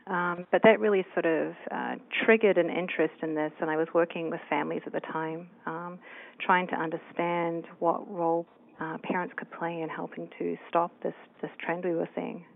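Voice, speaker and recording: Gravelly low woman on a phone line. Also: flat delivery, moderate pace, dry recording. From New Zealand. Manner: thoughtful, many fillers and hedges. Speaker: researcher guest. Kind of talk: interview answers.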